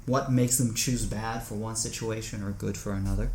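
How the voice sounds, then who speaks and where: Actor as an uneasy, questioning male driver, lowish voice. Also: steady tone, normal speed, a little mellow, close to an everyday voice. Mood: wary, probing. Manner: conversational, wondering, wary. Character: uneasy, questioning man driver, curious and troubled. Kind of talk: audio drama acting.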